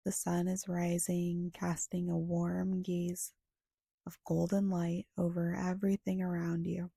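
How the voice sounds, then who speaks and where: A woman leads a guided meditation in a soft, husky, breathy voice that often slips toward a whisper. She speaks very slowly and evenly, with long pauses between short, soothing phrases. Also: fairly low pitch, monotone, no echo, clean studio sound.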